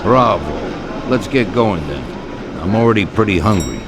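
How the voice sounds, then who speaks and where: An actor playing a genteel older mafia boss, courteous and quietly authoritative, in a video game. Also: male voice, lowish voice, lively intonation, moderate pace, over loud music, natural voice. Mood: genial authority. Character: genteel, commanding older male mafia Don. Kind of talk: video game cutscene acting.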